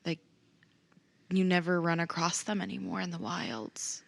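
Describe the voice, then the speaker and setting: A woman's voice: fairly low pitch, expressive, measured pace, vocal fry. A woman playing a tabletop game, talking casually in conversation as her character.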